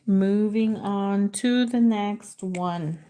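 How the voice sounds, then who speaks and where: A woman's voice: mid-range voice, dark tone. A woman from the United States hosting a livestream word-guessing game, reading out letters and chatting with viewers, sometimes in Spanish. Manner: slow, drawn-out letter reading, switches into Spanish. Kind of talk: casual live chat with viewers.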